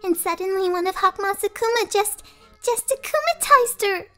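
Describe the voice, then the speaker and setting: Tiny cartoon fairy, extremely high and bright, anxious, female. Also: animated, moderate pace, faint music behind. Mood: worried. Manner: fretful, squeaky. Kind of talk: fan comic dub acting.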